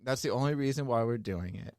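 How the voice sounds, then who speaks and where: A man's voice: medium pitch, quick pace, darkish tone, no echo, studio quality. A man co-hosting a casual podcast chat who laughs often between his lines. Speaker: podcast co-host.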